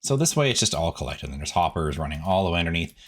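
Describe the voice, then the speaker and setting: A man's voice: deep voice, big pitch swings, fast. A man giving casual, chatty gameplay commentary, rambling in asides to viewers as a video host.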